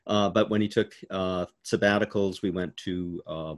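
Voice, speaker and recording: Low-voiced man, halting and chatty. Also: steady tone. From United States. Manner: halting, many uh fillers, laughs while telling stories. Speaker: keynote speaker. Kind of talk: informal virtual interview. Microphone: video call.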